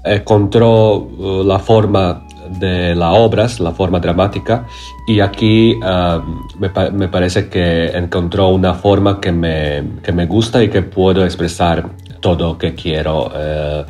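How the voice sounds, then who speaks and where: A man from Croatia, a playwright, speaking haltingly in an as an interview guest, often searching for words. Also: low-pitched, steady tone, a little mellow, faint music behind. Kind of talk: interview conversation.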